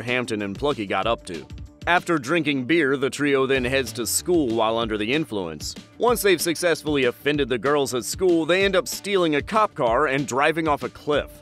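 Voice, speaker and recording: A man's voice: mid-range voice, fairly bright tone. A man reading a scripted countdown list with wry, dry asides. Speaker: narrator.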